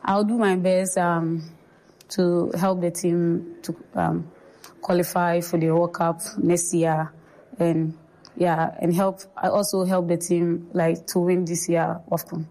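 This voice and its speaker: Sincere woman footballer, flat mellow voice. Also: lowish voice, takes it slowly, poor audio. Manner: hesitant, sincere, many fillers. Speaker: national team footballer. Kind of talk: interview answers.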